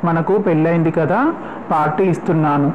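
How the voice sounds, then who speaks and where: A woman's voice: sits low, steady tone, normal speed, voice pushed down, lightly acted. A woman voicing a casual young newlywed husband who gives orders in a cartoon moral story. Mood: matter-of-fact, bossy. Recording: dull lo-fi, slightly noisy track.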